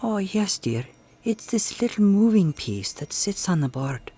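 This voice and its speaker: A woman playing a kindly, credulous small-town wife, gentle and polite, in a radio drama. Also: mid-range voice, big pitch swings, unhurried, breathy edge. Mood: kindly, fussy. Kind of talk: old-time radio drama acting.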